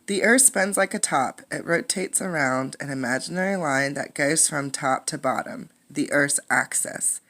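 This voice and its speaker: A woman reading a picture book aloud as a teacher, in an instructive way, pausing to explain and ask questions. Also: sits low, fairly bright tone.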